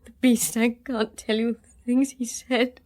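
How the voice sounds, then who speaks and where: An actress playing a bitter, guarded young woman in a radio drama, with an English accent and a hesitant, halting way of confiding. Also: a little high, flat delivery, a little mellow, natural voice. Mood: hurt, ashamed. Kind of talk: full-cast radio drama acting.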